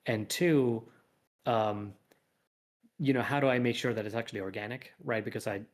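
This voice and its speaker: A man's voice: medium pitch, fairly even intonation, normal speed. A man talking casually as a guest creator in an interview, thinking aloud and rambling a little as he explains. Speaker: guest comic author and animation artist. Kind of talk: casual livestream interview talk.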